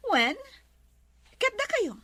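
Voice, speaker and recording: A woman with an Ilocano accent playing a bossy, haughty, wealthy resort owner in a radio drama, with drawn-out, imperious delivery. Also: very high-pitched, very animated, pushed and bright, no echo, full character voice. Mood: businesslike.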